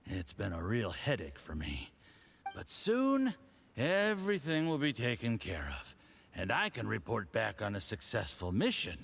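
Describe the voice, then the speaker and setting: A smug male diplomat from a fantasy game. His pitch swoops widely and his delivery is slow and theatrical. He sounds condescending and a little drunk, savoring his words like a patron talking down to an underling. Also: wildly swooping pitch, gravelly, a bit bright, slight background noise, full character voice. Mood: tipsy, pleased. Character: smug, condescending, tipsy Fatui diplomat, adult man. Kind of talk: video game voice acting.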